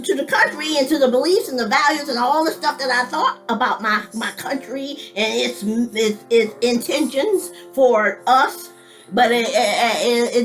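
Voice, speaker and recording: A woman in her late fifties talks straight to her camera in a loose, personal vlog. Her voice sits a little high, and she rambles in folksy, informal speech, restarting sentences and chuckling between thoughts. Faint music plays under her talk. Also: fairly bright tone, poor audio. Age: 50s. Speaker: vlogger. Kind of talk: casual personal monologue.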